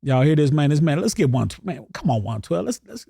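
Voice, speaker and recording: Fast-talking host with a mellow voice. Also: male voice, on the high side, very animated, creaky, slightly rough, dry recording, clean studio sound. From Atlanta. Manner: loose and slangy, jumps in with quick questions. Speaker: podcast host. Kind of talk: casual slangy interview chat.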